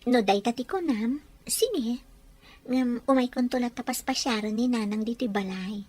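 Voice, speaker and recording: A doting young bride-to-be, as a woman plays her, with an Ilocano accent. Her voice sits a little high. She fusses over her man in a sweet, playful way. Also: a little mellow, natural voice. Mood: affectionate. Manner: sweet, fussing, quick and playful.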